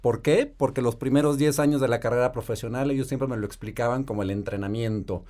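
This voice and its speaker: A Mexican man, probably in his thirties, a private equity investor telling his career story in a measured, articulate way. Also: mid-range voice, fairly even intonation, a little mellow. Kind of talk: podcast interview storytelling.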